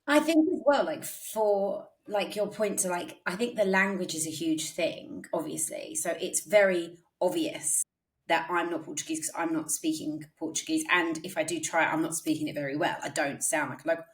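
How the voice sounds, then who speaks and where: A woman's voice: low voice, normal speed. A woman from England in her thirties, co-hosting a podcast in a chatty, think-aloud way with many fillers. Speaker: podcast co-host. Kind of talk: casual chat.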